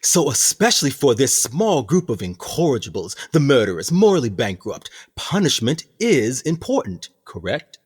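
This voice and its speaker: A man acts as a calculating prison captain in a radio play, proud of his own scheme. His husky voice swings widely in pitch as he lectures with pompous, theatrical relish and rhetorical questions. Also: very animated, normal speed, few pauses. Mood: controlled, persuasive. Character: smug, calculating male prison captain, proud mastermind of a colony.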